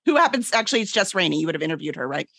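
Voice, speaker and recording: A woman's voice: low-pitched, very animated, very fast, vocal fry, fairly bright tone, breathy edge, dry recording, studio quality. A woman chatting casually as a guest on a podcast. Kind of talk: casual chat.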